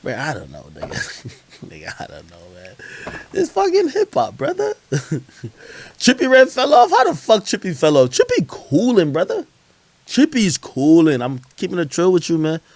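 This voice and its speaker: A man chatting casually on a livestream as host, telling stories in an animated, slangy way and swearing freely. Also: high-pitched, moderate pace, dry recording. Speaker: livestream host commenting on hip hop. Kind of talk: casual livestream chat, storytelling.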